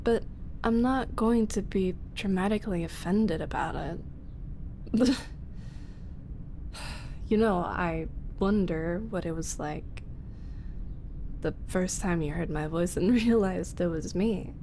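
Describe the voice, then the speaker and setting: A young woman voicing a shy roommate who secretly runs an ASMR channel, speaking softly in half-whispers with frequent small laughs and halting pauses. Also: lowish voice, a little hiss or hum. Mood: tender amused. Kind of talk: ASMR audio roleplay.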